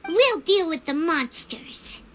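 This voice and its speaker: A woman voicing a small, loyal, childlike fairy companion in a video game, squeaky and excitable, fretting over friends. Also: slow pace, background noise, heavily acted. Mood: reassuring.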